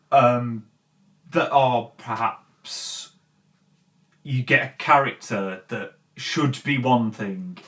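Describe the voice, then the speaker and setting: A man's voice: fairly low pitch, fairly bright tone. A man hosting a commentary show, talking in a casual, opinionated rant with emphatic, exasperated delivery and frequent swearing. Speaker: host of a film and game commentary show. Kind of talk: opinionated video essay rant, casual and conversational.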